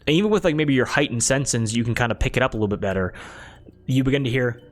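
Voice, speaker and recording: A man's voice: mid-range voice, sing-song, rapid-fire. Male game master, improvised fantasy narration with repeated phrasing, music underneath.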